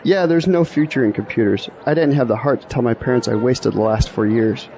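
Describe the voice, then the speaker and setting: A man's voice: medium pitch, brisk, warm tone, lightly acted, rough recording. An actor playing a self-deprecating young male graduate in an audio drama, with a dry delivery. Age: young adult. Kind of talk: scripted audio drama dialogue. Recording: faint outdoor background noise.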